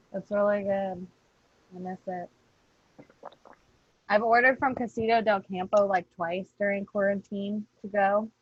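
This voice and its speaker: A woman's voice: medium pitch, fairly even intonation, takes it slowly, pauses often, lo-fi sound. A woman chatting casually with slangy phrasing and some easy swearing. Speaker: podcast cast member. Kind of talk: casual chat.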